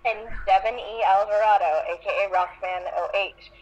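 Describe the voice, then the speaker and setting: A woman's voice: medium pitch, steady tone, moderate pace, muffled recording, a little hiss or hum. A woman reading fan fiction aloud in an amateur group session.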